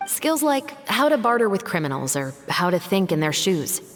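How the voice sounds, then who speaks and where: A woman playing a composed, serious investigator and patrol captain in a video game, speaking in a measured, articulate way. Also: mid-range voice, sing-song, natural voice. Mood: confident, reflective.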